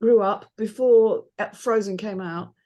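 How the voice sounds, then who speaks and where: A woman's voice: mid-range voice, a little slow, mellow, lo-fi sound. A middle-aged woman chairing a casual group discussion and giving feedback. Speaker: chair of a script feedback panel.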